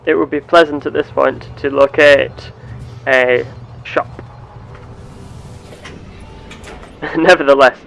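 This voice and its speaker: A man playing a genteel space captain and explorer, speaking in formal, measured, old-fashioned wording as he narrates a game in character. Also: mid-range voice, steady tone, gravelly, fairly bright tone, slight background noise, rough recording. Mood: musing.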